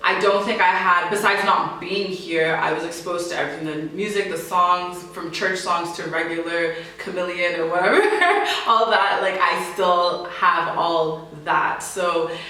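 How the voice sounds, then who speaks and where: Bright, chatty young woman in an echoey room. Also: on the low side, measured pace, few pauses, far from the mic. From Canada. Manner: laughs often, animated, chatty. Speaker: interview guest. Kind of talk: casual interview answers.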